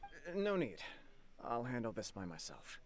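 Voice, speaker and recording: A man voicing an earnest, self-blaming young clan leader in a video game, formal, grave and measured. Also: medium pitch, wildly swooping pitch, a little hiss or hum. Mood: resolute. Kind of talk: dramatic game dialogue. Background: soft game music.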